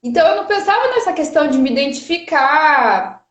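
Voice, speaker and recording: A woman's voice: sits high, sing-song, fairly quick. A woman in her thirties who grew up in Brazil and speaks with a Paraná accent, chatting casually and a little nervously on a livestream, with lots of fillers and laughs. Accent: Paraná Brazilian Portuguese. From Rio de Janeiro, Brazil. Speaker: guest. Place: her parents' house, indoors. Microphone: phone on a charger. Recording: muffled, roomy livestream audio.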